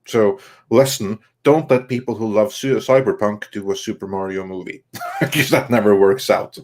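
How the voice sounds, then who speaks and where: A man co-hosting a live stream, reading viewer comments aloud in a halting way and responding casually. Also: lowish voice, darkish tone, slight background noise. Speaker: co-host reading viewer messages.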